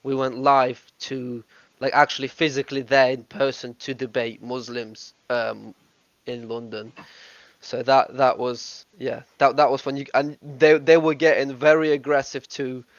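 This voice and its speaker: A man's voice: mid-range voice, little pitch movement, measured pace, no room sound. Eager man rambling in dry lo-fi chat. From Russia. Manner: eager, talks in bursts with many restarts and fillers. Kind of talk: casual group voice chat.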